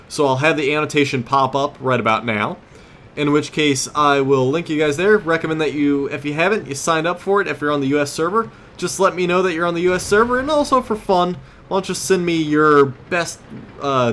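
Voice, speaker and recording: A man's voice: on the high side, a bit bright, slight background noise. A man giving casual, rambling gameplay commentary as host of a gaming series, often losing his train of thought.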